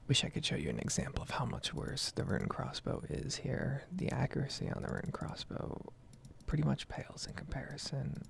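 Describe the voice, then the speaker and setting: A woman giving a casual, rambling gaming monologue in a soft, breathy ASMR whisper, close to the microphone. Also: a bass voice, very animated, moderate pace, a little hiss or hum. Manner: casual, rambling, mild swearing.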